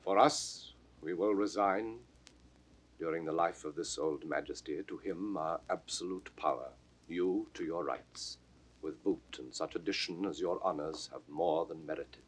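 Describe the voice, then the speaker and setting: Deep, husky, dignified duke. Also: male voice, unhurried. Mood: grave authority. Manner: formal, stately declamation. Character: grave noble duke taking command, dignified, measured. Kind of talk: 1960s recorded Shakespeare drama, classical stage acting.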